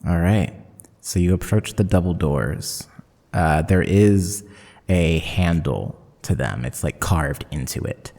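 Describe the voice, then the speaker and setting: A man narrating a horror tabletop game as game master, with measured, dramatic storytelling. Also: sits low, animated, gravelly, mellow. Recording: long reverb, music underneath.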